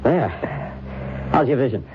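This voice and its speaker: An actor playing a genial, absorbed make-up artist in a radio drama, musing and easygoing with hesitant pauses. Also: male voice, medium pitch, lively intonation, lo-fi sound, lightly acted. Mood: absorbed, pleased. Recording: thin, noisy old radio drama recording.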